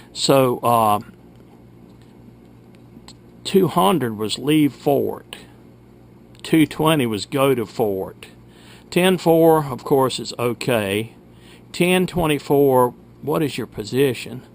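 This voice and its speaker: A middle-aged man with a creaky, gravelly voice takes his time talking. He sounds folksy and chatty, like someone casually showing off old gadgets, with a faint hiss of noise behind him. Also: medium pitch. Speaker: video host. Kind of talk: casual vintage gadget showcase. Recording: steady background noise.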